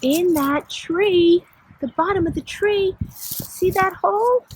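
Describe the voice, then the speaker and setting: A woman's voice: very high voice, takes it slowly. A woman teacher leading an outdoor lesson for young children, talking and reading aloud in simple, sing-song phrases. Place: outdoors on a nature walk. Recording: clearly noisy outdoor recording.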